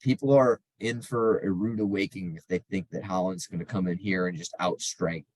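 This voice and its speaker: A man co-hosting a podcast, with a fairly low voice on a dry, close mic. He makes his picks emphatically and fills the gaps with ums. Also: fairly even intonation, fairly quick, a little mellow, dry recording, low-quality recording. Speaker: podcast co-host. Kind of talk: casual betting chat.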